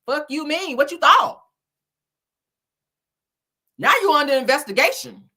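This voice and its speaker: A woman giving animated online reaction commentary in a blunt, scolding, emphatic manner, swearing freely and repeating phrases for effect. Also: sits high, unhurried. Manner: blunt, scolding, heavy profanity. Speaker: YouTuber commentating on celebrity and viral topics.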